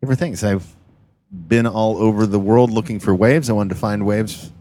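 Dry, low-voiced man driving. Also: fairly even intonation, warm tone. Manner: dry, deliberate, hesitant. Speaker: comedian guest. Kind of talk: casual chat. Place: driving a car.

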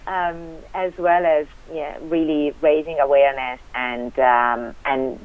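A woman's voice: sits low, dry recording. A woman from Italy with an Italian accent, a guest on a radio interview, speaking hesitantly with many fillers and restarts. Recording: tinny phone line.

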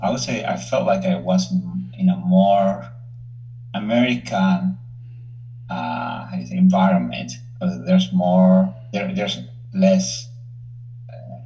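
Low-voiced Salvadoran professor. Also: male voice, expressive, dark tone, poor audio. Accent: Salvadoran Spanish. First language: Spanish. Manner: measured, searches for words. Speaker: university professor, guest. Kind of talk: interview answers.

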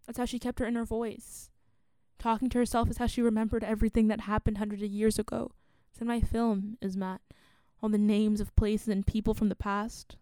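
An actress playing a solemn, resolute woman in a radio play, speaking in a measured, earnest way. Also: mid-range voice, flat delivery, dark tone, clear, resonant tone, no echo, close to an everyday voice. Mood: grave, insistent. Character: solemn, resolute woman confessing a long-hidden identity and grief.

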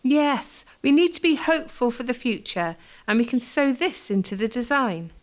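A woman acts an encouraging, hopeful townswoman in a scripted radio drama. Her voice is on the high side and moves around a lot in pitch. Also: lively intonation, normal speed, close to an everyday voice. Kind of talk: scripted community radio play.

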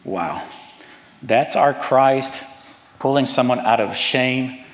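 A man's voice: medium pitch, slow pace. Southern-accented middle-aged guy preaching, earnest, lots of uhs, big echoey church hall. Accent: Southern American. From Cuba, raised in Atlanta. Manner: earnest, personal, with frequent "uh" fillers. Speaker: pastor. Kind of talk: sermon. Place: church sanctuary.

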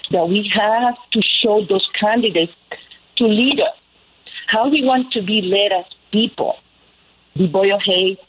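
A woman with a Puerto Rican accent giving impromptu personal testimony as a guest, earnest and oratorical, often in threes. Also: mid-range voice. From Puerto Rico. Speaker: panel guest. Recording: dull, lo-fi call with noise.

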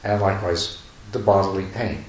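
A man with a lowish voice gives a dharma talk at a retreat, talking in loose, rambling sentences full of restarts and soft chuckles. His voice carries in an echoey room over a faint hiss. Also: fairly even intonation, moderate pace. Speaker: meditation teacher. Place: retreat meditation hall.

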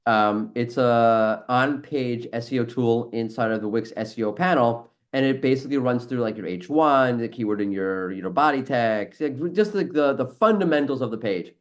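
A man's voice: mid-range voice, moderate pace, hardly any pauses. A man hosting a casual podcast, talking in a blunt, sarcastic and mildly profane way. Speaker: podcast host, SEO professional and former teacher.

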